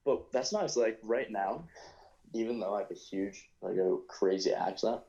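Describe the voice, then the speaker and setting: A man's voice: on the low side, moderate pace, gravelly, dark tone, dull audio. A young American man with a New York accent, a podcast guest chatting casually and rambling, with many fillers and restarts. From United States. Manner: rambling, hesitant, lots of fillers and self-corrections. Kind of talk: casual conversation.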